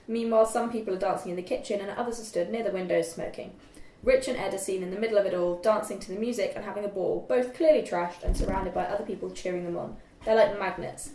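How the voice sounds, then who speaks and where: A woman's voice: mid-range voice, a little mellow. A young woman reading scripted stage directions as narrator at a table read, a little distant in an echoey room. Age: young adult. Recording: echoey room, distant mic, faint noise.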